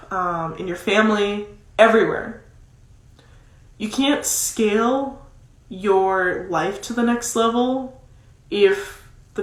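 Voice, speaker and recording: A woman with a nasal, congested voice from a cold gives casual, emphatic motivational advice, repeating key phrases for stress. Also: mid-range voice. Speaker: motivational coach. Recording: roomy, echoing sound.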